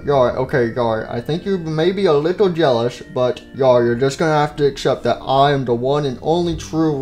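A man's voice: mid-range voice, darkish tone, lightly acted. A man voicing a busy, self-assured pirate fox animatronic who talks down in rambling pirate speech for a cartoon skit. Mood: condescending. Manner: rambling, patronizing pirate talk.

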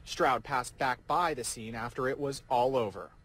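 A man's voice: medium pitch, moderate pace, fairly bright tone, husky. A man as a field reporter, delivering a live, scripted news report from an outdoor location.

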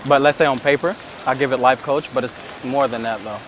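A man from the States talks in a relaxed, curious way, asking questions in a mid-range voice. He is recorded by a far-off camera in a city square, with clear street noise behind him. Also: medium pitch, a little fast. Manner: relaxed, probing questions, laughs easily. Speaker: dating coach. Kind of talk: casual chat. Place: outdoors in a busy city square. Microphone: far hidden camera.

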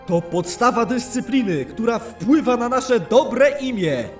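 A man's voice: extremely high pitch, expressive, faint music behind. A man playing a stern, wrathful elven lord of a paladin order in a fantasy audio drama, scolding imperiously. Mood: angry, stern. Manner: imperious, scolding, deliberate delivery.

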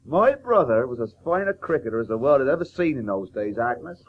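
An actor playing a hearty, blunt and teasing brother in a radio drama. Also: male voice, medium pitch, big pitch swings, fairly quick, phone-quality sound, a little hiss or hum, lightly acted. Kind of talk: 1980s radio play acting.

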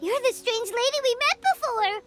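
A woman voicing a tiny, childlike fairy companion in a video game, chirpy and squeaky. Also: normal speed, over music. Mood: bold, cheeky. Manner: chirpy, speaks of herself in third person.

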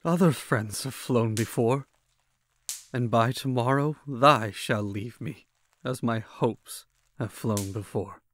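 Haunted mourner in gothic audio drama, brooding n fearful, slow dramatic verse. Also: male voice, medium pitch, fairly bright tone. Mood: sorrowful.

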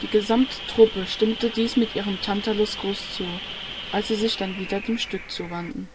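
A woman's voice: medium pitch, fairly even intonation, normal speed, background noise. A woman reading scripted narration for an audio drama. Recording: music under the voice. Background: music.

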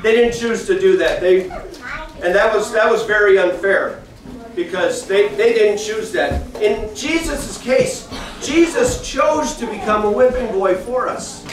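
A middle-aged man teaching a children's Bible lesson, animated and talking straight to the kids, often repeating his phrases. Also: high voice, unhurried. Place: large classroom with kids seated. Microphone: far camera, no mic seen. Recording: echoey room from far off, kids chattering.

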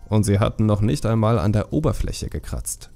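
A man narrating a fantasy audiobook in a measured, expressive storytelling manner. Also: on the low side, gravelly, faint music behind.

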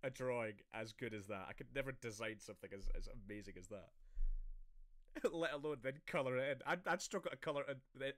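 A man's voice: medium pitch, quick pace, a bit bright, no echo, studio quality. A Scottish man chatting casually and jokingly as a player in an online tabletop game, often laughing at himself. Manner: laughs often, self-deprecating.

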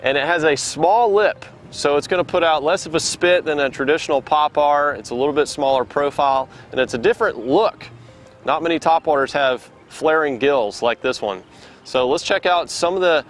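A young man hosting a fishing video, explaining a lure in relaxed, conversational talk to camera outdoors by a lake. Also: mid-range voice, a little hiss or hum. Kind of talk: casual instructional talk to camera.

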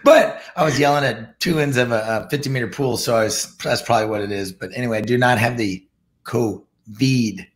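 A man in his fifties, a swim coach, hoarse this week, talking haltingly on a casual video call. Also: mid-range voice. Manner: halting, stumbles over words. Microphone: video call webcam.

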